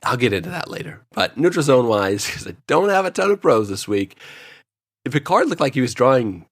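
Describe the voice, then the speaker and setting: A man's voice: mid-range voice, sing-song, fairly quick, no echo, studio quality. A man co-hosting a podcast, chatting casually and jokily while giving his opinions in a review. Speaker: podcast co-host. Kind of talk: casual review chat with anecdotes.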